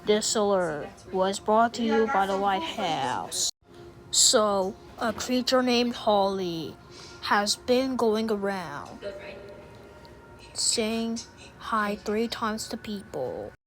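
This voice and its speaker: A woman reading a scripted mock emergency broadcast announcement in a formal, deliberate, instructional manner. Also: mid-range voice, lively intonation, soft background music, rough recording.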